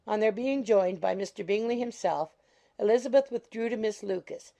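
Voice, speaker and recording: Lady narrating a classic novel, mellow voice, lots of vocal fry, medium pitch. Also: moderate pace. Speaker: audiobook narrator.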